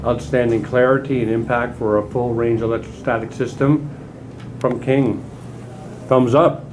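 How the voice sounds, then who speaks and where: Male on-location reviewer, casual interview tone, medium pitch, music playing in the background. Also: fairly even intonation, a little slow, rough recording. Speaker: interviewer and audio show reviewer. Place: demo room at an audio show.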